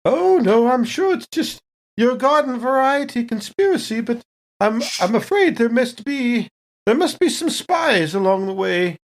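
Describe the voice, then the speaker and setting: A woman's voice: fairly high pitch, lively intonation, measured pace. A woman playing a polite, evasive company captain in improvised sci-fi role-play, hesitant and genteel in her phrasing. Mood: coyly evasive.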